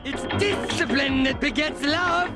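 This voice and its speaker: An actor voicing a fierce, overblown disciplinarian in an animated cartoon. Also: sits high, unhurried. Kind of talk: cartoon voice acting. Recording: loud music over cartoon clip. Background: loud music.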